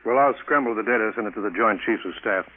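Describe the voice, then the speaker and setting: An actor playing a brisk, businesslike male government chief in a 1950s radio drama. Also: fairly low pitch, natural voice. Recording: tinny, faintly noisy vintage radio recording.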